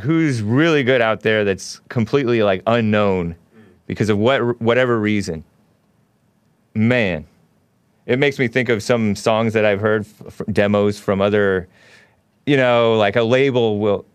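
A man's voice: fairly low pitch, clear, resonant tone, no echo. A man hosting a casual call-in show, musing aloud in a laid-back way and chuckling often. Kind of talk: casual call-in radio chat.

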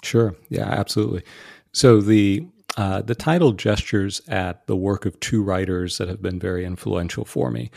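A man being interviewed as a podcast guest, explaining ideas in a measured way with frequent "uh" and "um" fillers. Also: fairly low pitch, vocal fry, mellow, dry recording, clean studio sound. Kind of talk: thoughtful interview answers.

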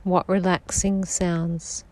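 A woman guiding a sleep meditation in a slow, soft-spoken, soothing manner. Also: on the low side, flat delivery. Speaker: meditation guide.

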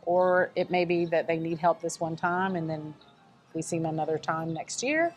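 Southern woman guest, lower voice, animated storyteller, light music bed. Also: normal speed. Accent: Southern American. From American South. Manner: animated storyteller, acts out quoted dialogue. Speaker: nonprofit staff guest. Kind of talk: conversational interview storytelling.

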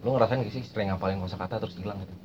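A man's voice: lowish voice, racing, a little mellow. Slangy young man explaining. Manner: slangy, repeats phrases while explaining. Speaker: podcast host. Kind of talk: casual chat explaining language learning. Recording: muffled lo-fi recording with faint noise.

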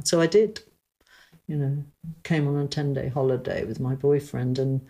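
A woman's voice: low-pitched, darkish tone. An older woman, reminiscing chattily as an interview guest and repeating phrases for emphasis. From London, England. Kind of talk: reminiscing in casual conversation.

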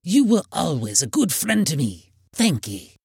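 A man voicing a frightened old miser, faltering and pleading in a gravelly, breathy voice. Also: a little high, wildly swooping pitch, measured pace, a little mellow, no echo, clean studio sound, long reverb tail, voice pushed up, heavily acted. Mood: nervous pleading.

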